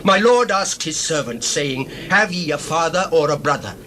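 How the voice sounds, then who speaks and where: A man's voice: fairly high pitch, lively intonation, normal speed, bright, forceful tone. An actor playing an anguished Hebrew brother humbly pleading with his lord in an earnest, formal dramatized scripture reading. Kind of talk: dramatized scripture reading, radio-play acting. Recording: noisy old dramatized audio recording.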